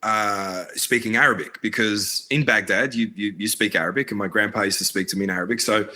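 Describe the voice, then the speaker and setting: A man's voice: fairly low pitch, steady tone, brisk, pushed and bright. A man, born in Iraq, talking earnestly as a filmmaker guest in a casual interview, with lots of fillers and restarts. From Iraq, raised in Australia. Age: adult, married.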